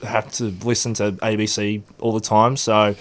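Rambling young Australian man, low voice. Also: flat delivery, moderate pace, fairly bright tone. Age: 20s. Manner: rambling storyteller with many ums and restarts. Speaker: radio guest. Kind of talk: casual radio interview. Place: radio studio.

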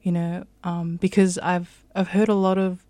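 Young New woman, low and flat. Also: measured pace, dry recording. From New Zealand. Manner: chatty, many fillers like "um" and "like". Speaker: climate activist guest. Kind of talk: casual interview answers.

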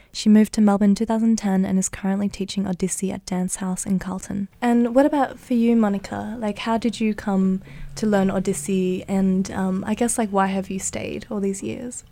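A woman's voice: mid-range voice, dark tone, no echo. A woman hosting a radio current affairs program, presenting scripted introductions and putting questions to guests. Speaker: radio host and interviewer.

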